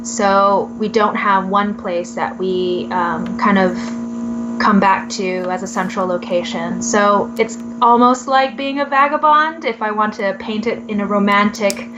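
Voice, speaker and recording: A young woman from Southern California tells her story as a podcast guest, reflective and unhurried. She fills pauses with "um" and soft laughs. Her voice comes through a little muffled and lo-fi. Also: medium pitch, noisy background. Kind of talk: casual interview, telling her life story. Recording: slightly muffled lo-fi audio.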